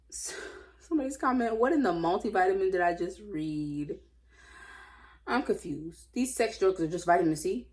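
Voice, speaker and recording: Low-voiced woman, casual and playful. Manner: playful, animated reactions, slangy. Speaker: host reading Reddit stories. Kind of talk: casual read-aloud with chatty off-the-cuff commentary.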